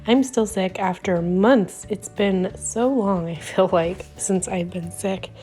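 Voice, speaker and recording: Hoarse, chatty woman crafting. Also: mid-range voice, takes it slowly, mellow, faint music behind. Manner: rambling, chatty, frequent ums and little laughs. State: hoarse, voice going out from illness. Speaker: scrapbooking video host. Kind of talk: casual voice-over while crafting.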